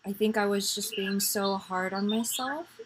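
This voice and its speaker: A woman in her twenties hosting a podcast, chatting casually about faith in a soft, rambling way full of fillers. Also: medium pitch, unhurried, husky, rough recording. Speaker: podcast host.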